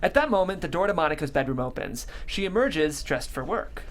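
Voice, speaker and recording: A woman's voice: low-pitched, big pitch swings, brisk, crowd noise. A woman reading out stage directions as narrator at a script table read.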